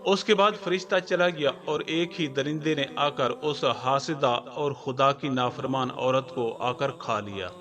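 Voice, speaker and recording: A man hosting and narrating a scripted moral story in a formal, measured storytelling manner. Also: mid-range voice, fairly bright tone. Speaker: narrator and channel host. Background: background music.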